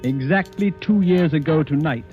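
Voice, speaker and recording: An actor playing a self-satisfied, theatrical master detective, pompous and deliberate, in old-time radio horror style. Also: male voice, a little high, lightly acted. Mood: smug, commanding. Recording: muffled vintage radio recording, faint music.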